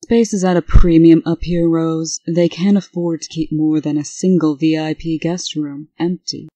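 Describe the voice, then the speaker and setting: A woman's voice: sits low, flat delivery, moderate pace, warm tone, no room sound. A woman playing a prim, authoritative administrator and exasperated sister, formal and scolding, in a comic dub.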